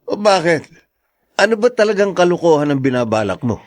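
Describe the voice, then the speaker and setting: A man's voice: fairly high pitch, very animated, brisk, dry recording, clean studio sound. A man playing an exasperated husband in a Filipino radio drama, speaking with a Filipino accent. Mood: amused, griping. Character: exasperated husband dodging his visiting in-laws.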